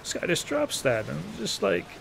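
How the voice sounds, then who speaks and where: A man in his early thirties giving casual, rambling gameplay commentary in an informal, halting, profane, self-deprecating way. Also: high voice, huge pitch swings, vocal fry, a bit bright, a little hiss or hum. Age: early 30s. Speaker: gaming video creator.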